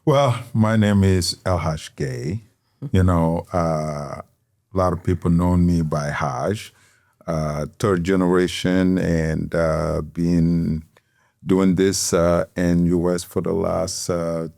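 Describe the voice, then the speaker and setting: Slow, deep-voiced man from Senegal. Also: steady tone, gravelly, a little mellow, no room sound, clean studio sound. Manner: unhurried, halting with many fillers. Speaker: guest, tailor. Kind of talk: interview conversation.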